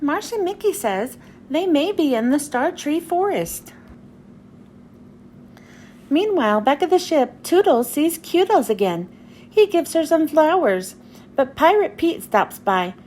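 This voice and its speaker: High, expressive woman reading a storybook. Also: measured pace, long pauses. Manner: expressive, telling a story to children. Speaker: children's book reader. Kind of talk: storybook read-aloud narration. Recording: faint background noise.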